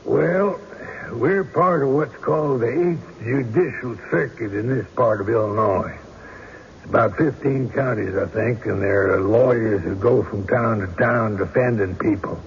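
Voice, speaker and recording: A man's voice: medium pitch, unhurried, slightly rough. An actor playing a plain-spoken, practical small-town man in old-time radio drama, matter-of-fact and skeptical. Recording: dull radio recording, faint noise.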